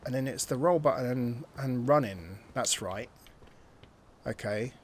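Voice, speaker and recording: A man giving casual, excitable commentary while playing a video game and thinking aloud. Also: mid-range voice, unhurried, slight background noise. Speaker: gameplay streamer. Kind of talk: casual let's-play commentary.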